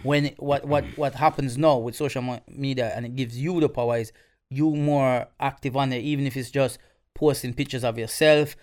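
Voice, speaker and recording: Advice-giving co-host, stuttering. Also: male voice, mid-range voice, fairly even intonation, fairly quick, darkish tone. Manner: gives advice, stutters on repeated words. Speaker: podcast co-host. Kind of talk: casual chat.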